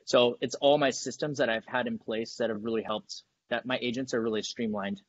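A man in his thirties from San Diego talks through earbuds on a video call from his dining room. He speaks at an ordinary pitch and explains things briskly and matter-of-factly, with a slightly dull, lo-fi sound. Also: fairly even intonation. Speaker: guest, team leader. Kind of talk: casual video-call interview.

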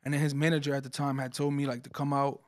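A man's voice: mid-range voice, level tone, quick pace. A young man from New Jersey, a rapper guest, talking casually and laid-back into a studio mic on a couch. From Paterson, New Jersey. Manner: laid-back, slangy, repeats phrases. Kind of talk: casual interview chat. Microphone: studio mic on boom arm.

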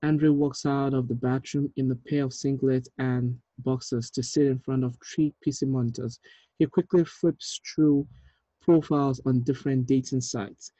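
A man in his thirties reading screenplay directions aloud in a measured, deliberate way, with small stumbles. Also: mid-range voice, steady tone, dark tone, no echo, highs cut off. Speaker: writer reading stage directions at a table read. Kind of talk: script narration, reading aloud. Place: indoor room, on a video call.

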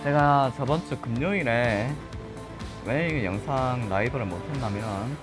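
A man with a regional Korean accent chatting casually over a football video game, his voice a little husky from just waking up, breaking into loud excited shouts. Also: mid-range voice, measured pace. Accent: regional Korean dialect. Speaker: gaming channel host. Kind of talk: live gameplay commentary. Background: game music.

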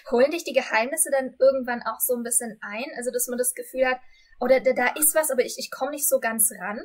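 A woman's voice: a little high, a little fast. A woman in her thirties interviewing someone casually over a video call. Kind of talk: casual interview questions with listening responses. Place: room with bookshelves.

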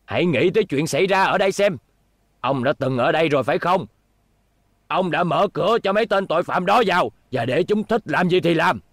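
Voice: mid-range voice, animated, fairly quick, dry recording, studio quality. An actor playing a scheming middle-aged hotel owner in a cartoon dub, oily and pompous. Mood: accusing. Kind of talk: Vietnamese cartoon dubbing. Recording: background music under dubbed dialogue.

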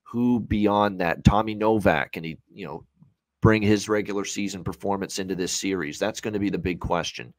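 A man's voice: deep voice, normal speed, no room sound, low-quality recording. A Canadian man in his thirties giving conversational sports betting analysis in a discursive way, repeating phrases for emphasis. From Hamilton area, Ontario, Canada. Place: small home room on a video call.

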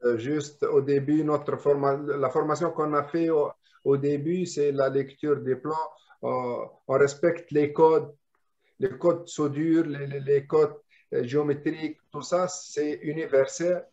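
Arabic-speaking guy on a video call, kinda high voice, stumbles and repeats words, muffled lo-fi audio. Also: fairly even intonation, unhurried, darkish tone. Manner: explanatory, many repetitions and restarts. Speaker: industrial draftsman guest. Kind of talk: casual video call discussion.

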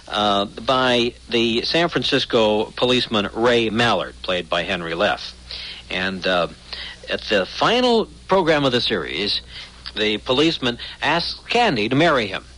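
A man's voice: mid-range voice, big pitch swings, measured pace, sharp tone, low-quality recording. Guy hosting a radio show, chatty n playful, voice goes up and down a lot. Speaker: radio program host. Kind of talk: conversational radio presenting.

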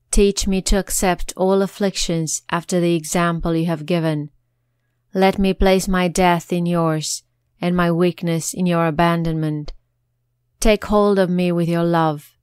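A woman reciting prayers in a slow, reverent, measured way. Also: fairly low pitch, clear, resonant tone, no echo, studio quality. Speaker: prayer leader.

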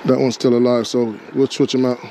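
A man's voice: mid-range voice, flat delivery, brisk, dark tone, a little hiss or hum. A man fishing from a rocky shore outdoors, talking to himself and to the fish in casual running commentary, picked up by a body-mounted action camera. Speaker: fishing vlogger.

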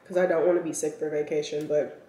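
Slightly raspy young woman vlogging at home. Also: deep voice, little pitch movement, fast talker, warm tone. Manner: chatty, filler-heavy, talks while eating. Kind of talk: casual vlog chat. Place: home kitchen and walk-in closet. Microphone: camera set on counter at arm's length. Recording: echoey room, somewhat far from mic.

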